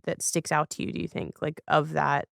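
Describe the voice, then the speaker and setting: Smooth, dry-sounding man interviewing casually. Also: a little high, flat delivery, normal speed, fairly bright tone, no echo. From Los Angeles, United States. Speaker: podcast host, interviewer.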